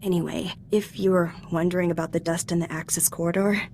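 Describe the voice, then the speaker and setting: A woman's voice: on the low side, fairly even intonation, quick pace, gravelly, darkish tone, slight background noise. Eager young female Jedi apprentice. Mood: sheepish, upbeat. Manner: earnest, slightly hesitant, conversational. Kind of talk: scripted video game voice acting.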